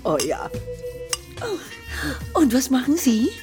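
A genteel female variety artiste in a satirical radio play. She talks in an affected, conversational way with very lively intonation at a medium pitch. Faint music plays behind her. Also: takes it slowly, exaggerated voice. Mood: chatty, pleased.

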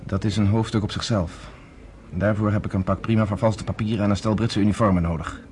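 An actor playing a confident, courteous underground leader in a radio play, speaking in a measured, formal way. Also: male voice, lowish voice, fairly even intonation, darkish tone, slight background noise, natural voice. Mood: calm, scheming. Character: confident, persuasive underground leader, former army captain, courteous.

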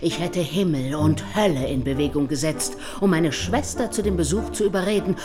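A woman playing a self-important, flattering, meddlesome aunt in a radio drama, gushing and insistent. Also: low-pitched, normal speed, music in the background, natural voice. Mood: self-satisfied gushing. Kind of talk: literary radio drama acting.

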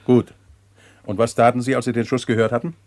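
An actor playing a shrewd, courteous police inspector questioning witnesses in a German crime radio play, methodical and polite. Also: male voice, mid-range voice, level tone, a little fast, darkish tone, no echo, natural voice. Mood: probing.

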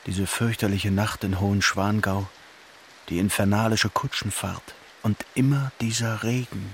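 An actor playing a solemn, elegiac narrator who echoes a doomed king's thoughts in measured radio play phrases. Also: fairly low pitch, fairly even intonation, slight background noise. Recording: music behind the voice. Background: music.

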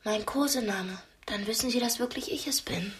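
An actress playing a frightened but defiant young captive woman in a radio drama, breathy and pointed in her speech. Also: fairly high pitch, normal speed, lightly acted. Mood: fearful, subdued. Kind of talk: German radio drama dialogue.

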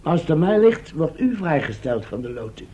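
An actor in a Dutch radio play playing an excitable, cheeky young man trapped in a castle. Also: on the high side, brisk. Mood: dry teasing. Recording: dull lo-fi old radio recording.

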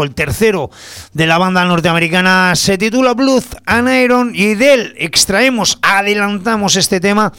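A man's voice: sits high, fairly bright tone. A man with a Spanish accent from Spain hosting a music show, chatty, colloquial and jokey, bantering casually as he presents. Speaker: music radio show host. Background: guitar music.

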